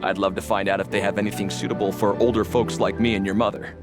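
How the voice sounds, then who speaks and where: A man acts out a kindly middle-aged dad in a video game, low-pitched and gently authoritative. Loud music plays behind the voice. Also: little pitch movement, quick pace, lightly acted. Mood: firm, kindly. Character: kindly middle-aged father, firm but indulgent. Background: loud background music.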